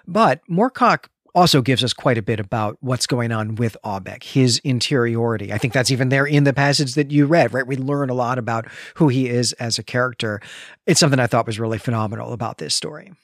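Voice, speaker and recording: A man's voice: medium pitch, brisk, no room sound. Enthusiastic bookish guy chatting about books on a podcast, articulate, really dry close recording. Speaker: podcast co-host. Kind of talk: book discussion podcast, conversational with some read-aloud passages.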